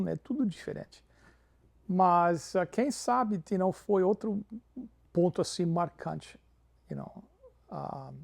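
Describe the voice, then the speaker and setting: Gravelly pastor, warm tone. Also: male voice, fairly high pitch. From Canada. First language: English. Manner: peppers Portuguese with English fillers. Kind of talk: interview storytelling.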